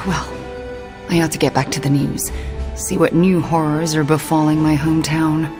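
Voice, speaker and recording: A woman acts a vulnerable young sorceress in a game cutscene. Her voice sits low, with a hesitant, casual delivery and a wry weariness. Music plays clearly behind her. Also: fairly even intonation, normal speed, close to an everyday voice. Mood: wry, weary. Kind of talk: naturalistic video game cutscene acting.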